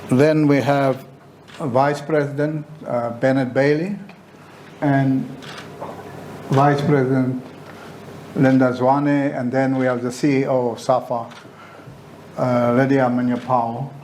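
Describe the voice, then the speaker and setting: A man chairing a press conference, speaking in a halting, deliberate way with pauses mid-sentence. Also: medium pitch, level tone, darkish tone, poor audio. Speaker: football association official chairing a press conference. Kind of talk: informal press conference address. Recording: faint background noise.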